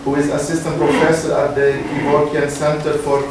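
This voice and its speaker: Echoey, flat-toned man moderating an event. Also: fairly high pitch. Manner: halting, hesitant delivery. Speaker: moderator introducing guest speakers. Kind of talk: unscripted event introduction. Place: university room. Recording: echoey room, distant mic, noisy.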